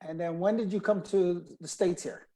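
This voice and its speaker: A man's voice: high voice, fairly even intonation, moderate pace, mellow. A man hosting a casual interview show, rambling a little and rephrasing his questions as he goes.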